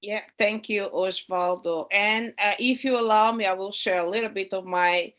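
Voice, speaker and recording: A friendly woman coach with roots in Portugal and Cape Verde speaks in an ordinary mid-range voice. She introduces herself over a video call and tells her story in a warm, personal, conversational way. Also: mid-range voice, normal speed. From Portugal, Cape Verdean background. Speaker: high performance coach, operations director.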